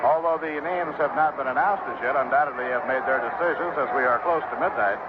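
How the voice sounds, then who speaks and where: A man's voice: mid-range voice, fast talker, dull audio. An American man calling baseball play-by-play in the style of 1960s radio commentary. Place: outdoor ballpark broadcast position. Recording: thin, very noisy vintage radio broadcast. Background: heavy noise and stadium ambience.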